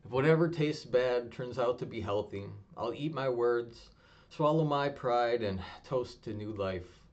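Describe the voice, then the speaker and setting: A young man reading his own spoken word poem in a low-key, even delivery he calls monotonous. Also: unhurried. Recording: dull lo-fi home recording.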